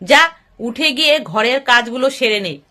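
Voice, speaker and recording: A woman voicing a bossy, sharp-tongued mother-in-law in a moral story. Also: on the high side, lively intonation. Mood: scornful. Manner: brisk ordering and scolding.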